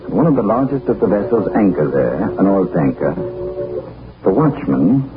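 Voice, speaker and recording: A man's voice: deep voice, big pitch swings, moderate pace. A man narrating a 1940s radio drama in a grave, dramatic storytelling manner. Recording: tinny old radio broadcast with hiss.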